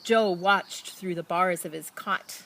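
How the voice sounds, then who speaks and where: A woman reads a children's picture book aloud expressively, with dramatic emphasis and pauses and casual asides to her listeners. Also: lowish voice, a bit bright. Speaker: storybook reader.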